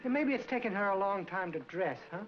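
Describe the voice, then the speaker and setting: A man's voice: sits high, animated, brisk. A man playing an earnest, sympathetic young American soda jerk in a 1940s film comedy. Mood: obliging. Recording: dull 1940s film soundtrack with music.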